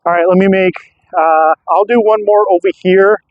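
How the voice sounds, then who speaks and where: A man's voice: sits high, fairly even intonation, normal speed, dry recording. A man talking casually as a vlogger during a field test of radio gear, in slightly muffled audio.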